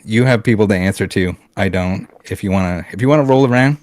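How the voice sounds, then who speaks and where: A man's voice: fairly low pitch, fast, a little mellow, dry recording. A man from Canada on a casual podcast, ranting bluntly with swearing, restarts and fillers. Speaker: podcast co-host.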